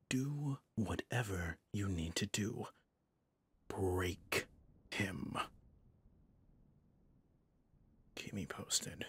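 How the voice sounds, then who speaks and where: A man playing a possessive villain boss in an intimate ASMR roleplay, speaking formally and commandingly yet indulgently, in a husky, breathy voice. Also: lowish voice, fairly bright tone, heavily acted. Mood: cold, commanding. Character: smooth possessive male villain boss, doting on favorite servant.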